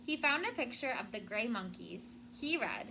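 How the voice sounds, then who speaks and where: Casting: female elementary teacher, higher-pitched, expressive read-aloud with simple explanations, noisy recording. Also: moderate pace, vocal fry. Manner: expressive reading, child-friendly explaining. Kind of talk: read-aloud storytelling to children, with questions and vocabulary asides. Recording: loud background noise.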